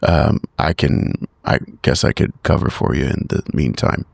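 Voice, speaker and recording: A man roleplays casually at a tabletop game in a very deep voice full of gravelly fry. He is blunt and understated, feeling his way through sentences on a dry, close recording. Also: normal speed, no room sound.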